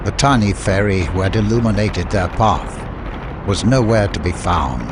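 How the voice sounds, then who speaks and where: A man's voice: low-pitched, normal speed, noisy background. A man giving a dramatic scripted narration of an adventure story as an audiobook narrator.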